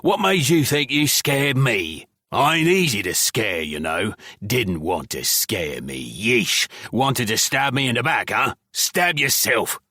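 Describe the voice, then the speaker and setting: A man voicing a scrappy male goblin convict, hoarse, crude and mocking. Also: medium pitch, animated, slow, hardly any pauses, pushed and bright, no echo, clean studio sound, pitched above the performer's own voice, full character voice.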